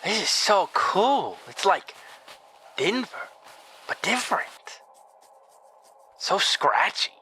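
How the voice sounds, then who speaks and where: A man's voice: sits high, big pitch swings, pushed and bright, exaggerated voice. A man voicing the ghost of a homicidal young girl inhabiting a man's body in a horror audio drama, playful, childlike and scratchy. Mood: playful, fascinated. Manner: playful, childlike, drawn-out wondering delivery.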